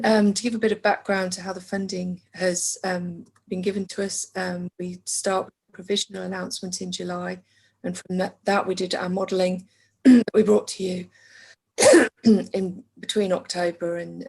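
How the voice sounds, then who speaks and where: A woman giving a measured briefing on funding at a council meeting, pausing often on fillers. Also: on the low side, flat delivery, gravelly, a little mellow, husky, low-quality recording. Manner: measured, with frequent hesitation fillers. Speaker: council finance officer.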